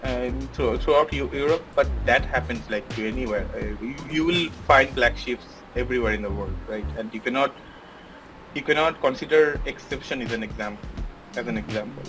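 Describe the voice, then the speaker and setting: Hesitant man, halting answers over music. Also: mid-range voice, rough recording. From Bangladesh. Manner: hesitant, many fillers and restarts. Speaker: podcast guest, software engineer. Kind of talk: casual interview answers.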